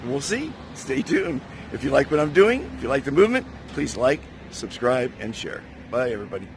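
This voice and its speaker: A man talking casually and enthusiastically to his camera outdoors, his voice going hoarse from overuse. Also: mid-range voice, big pitch swings, brisk, slight background noise. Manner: upbeat, enthusiastic. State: losing his voice. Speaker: vlogger. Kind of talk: casual vlog chat. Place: outdoors at a resort, windy.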